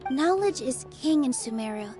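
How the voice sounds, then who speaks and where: Gentle young female performer, game role: earnest, soft-hearted, very high pitch, faint music. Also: animated, moderate pace. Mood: apologetic, downcast. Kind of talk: video game voice acting.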